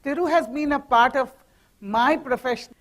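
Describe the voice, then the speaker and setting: A middle-aged woman with an Indian English accent speaks on a mic to a company audience in a hall. Her voice sits a little high, and she keeps the talk light with jokes and teasing asides to the room. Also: normal speed. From Mumbai, India. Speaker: guest speaker, professor. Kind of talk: corporate talk with slides. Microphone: handheld or stand mic.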